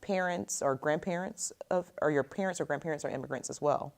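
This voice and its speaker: A woman's voice: low-pitched, a little fast. A woman hosting an interview series, asking questions and encouraging her guest with short affirmations. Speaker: interview host.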